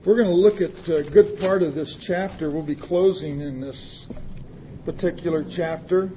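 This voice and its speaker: A man's voice: a little high, normal speed, warm tone, dull audio, a little hiss or hum. A man preaching a sermon, reading scripture aloud and explaining it in plain words, with asides to the congregation. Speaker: pastor.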